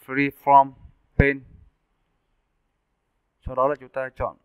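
A man giving a casual, step-by-step software tutorial as an animation instructor. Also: medium pitch, monotone, takes it slowly, smooth, dry recording. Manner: informal step-by-step explaining. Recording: muffled narrowband phone-like audio.

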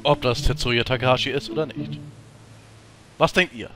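A man's voice: mid-range voice, fairly even intonation, normal speed, over music. A man hosting a let's play with casual commentary.

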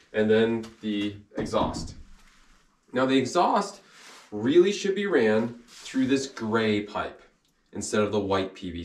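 A man in his forties talking casually to camera as a builder vlogging his work plans, in a colloquial, folksy, self-deprecating way. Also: medium pitch. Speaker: home improvement vlogger, builder. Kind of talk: casual vlog talk about work plans. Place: job site, outdoors and in unfinished house.

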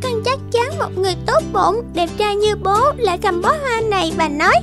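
A woman voicing a cheerful, eager five-year-old girl in an animated drama, sweet and excitable. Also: very high-pitched, moderate pace, over music. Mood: excited.

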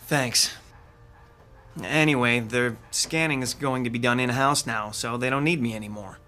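A man's voice: medium pitch, normal speed, bright, forceful tone, lightly acted. A man acting a wry, skeptical theatre techie with a dry, teasing manner in an audio drama. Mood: worried.

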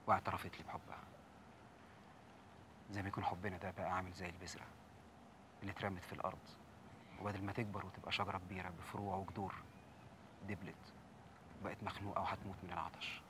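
A man's voice: low-pitched, flat delivery, very fast, pauses often, noisy background, natural voice. An actor playing a troubled young man who earnestly confides his doubts to his beloved, with an Egyptian accent. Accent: Egyptian Arabic. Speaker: Youssef.

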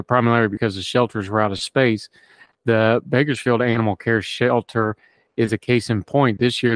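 A man's voice: mid-range voice, no room sound. Male podcast host, mid-range voice, conversational read of an article, very dry recording. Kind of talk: reading an article aloud with casual asides.

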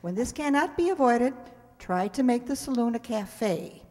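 A woman's voice: on the high side, expressive, normal speed, darkish tone. Older woman presenting in a reverberant hall. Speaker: presenter introducing a stage radio play. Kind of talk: scripted introduction read to an audience. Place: stage in a community hall, indoors. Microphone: handheld-style microphone on a stand. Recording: hall reverb from stage microphone.

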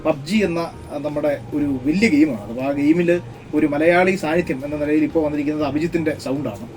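Brief: male host, slightly high voice, racing casual questions. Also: fast talker, a little mellow, distant mic, faint music behind. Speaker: interviewer, host. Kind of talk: casual interview.

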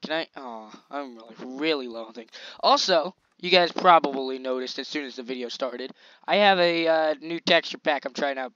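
Excitable young man with a scratchy voice. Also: a little high, no room sound, lo-fi sound. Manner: excitable, chatty, slangy hype while playing. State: slightly scratchy voice. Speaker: gaming channel host. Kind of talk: casual gameplay commentary.

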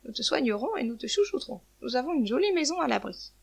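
A woman's voice: high-pitched, very animated, fast, gravelly, husky, heavily acted. A woman voicing polite, apologetic pigeons in a children's story, earnest and admiring. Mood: apologetic, kind. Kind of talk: children's storybook character reading.

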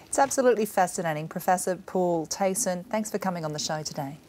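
Low-pitched Australian woman news presenter. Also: quick pace, a little mellow, slight background noise. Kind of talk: news reading and interviewing. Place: television news studio.

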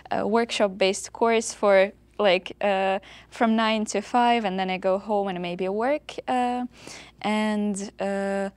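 Young woman panelist, halting and measured, very slow, dry lapel sound. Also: medium pitch, fairly even intonation, a little mellow, dry recording. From Russia. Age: young adult. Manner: hesitant, many fillers. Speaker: student panelist. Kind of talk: casual panel chat. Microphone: lapel mic.

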